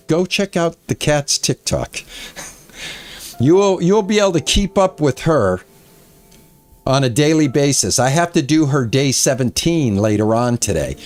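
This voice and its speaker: A man in his late sixties chatting casually to his viewers while streaming a farming game, rambling with frequent pauses. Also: a little high. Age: 60s. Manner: soft and quiet while petting his cat. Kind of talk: casual live-stream chat while playing.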